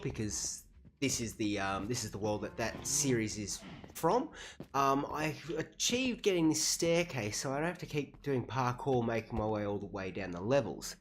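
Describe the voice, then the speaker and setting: An Australian streamer in their late twenties chatting casually through a livestream, rambling and self-deprecating with easy laughs. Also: medium pitch. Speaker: game streamer. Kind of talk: casual livestream commentary while playing.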